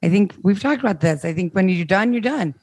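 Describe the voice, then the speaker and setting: A woman in casual podcast chat, husky as she loses her voice, excitable with a sultry tone. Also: mid-range voice, a little fast, no echo.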